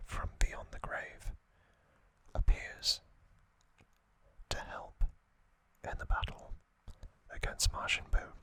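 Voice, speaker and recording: A man whispering breathily as an ASMR host, telling a story in hushed, short phrases with pauses between them. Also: no echo. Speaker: host of an ASMR trivia channel.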